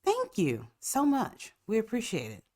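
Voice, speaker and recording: A woman's voice: fairly high pitch, huge pitch swings, measured pace, darkish tone. A woman hosting a podcast in casual chat, congested with a cough, telling stories with sassy, profane humor and frequent laughs. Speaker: podcast host.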